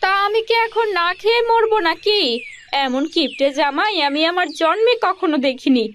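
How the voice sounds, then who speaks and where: A woman's voice: very high-pitched, lively intonation, normal speed, fairly bright tone, slight background noise. A woman voicing an indignant young wife in a cartoon, scolding and complaining in a plaintive way. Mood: nagging, indignant. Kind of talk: Bengali cartoon voice acting.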